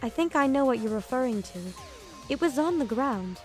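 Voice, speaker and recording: A woman's voice: high-pitched, expressive, moderate pace, darkish tone, faint music behind. A woman voicing a composed, serious student reasoning through evidence in an anime fan dub.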